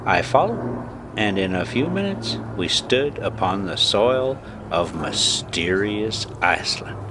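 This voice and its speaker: An older man reading a classic adventure story aloud in a dramatic, deliberate way with emphatic pauses and casual delivery of asides. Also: lowish voice, very animated, background noise.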